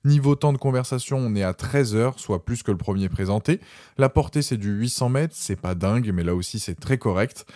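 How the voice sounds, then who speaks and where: A man's voice: medium pitch, a little fast, mellow, no echo, clean studio sound. A man presenting a scripted product review voice-over, informally addressing viewers directly.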